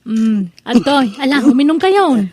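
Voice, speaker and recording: A woman's voice: sits high, lively intonation, measured pace, darkish tone, no room sound. A woman playing a dutiful, worried working daughter of elderly parents in an Ilocano comedy audio drama. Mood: coaxing, concerned.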